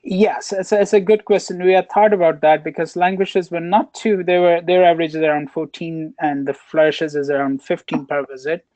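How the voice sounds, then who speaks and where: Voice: medium pitch, steady tone, brisk, hardly any pauses, dark tone, no echo. A counselor answering questions on a webinar, talking with many fillers and restarts over a video call. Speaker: counselor and program researcher.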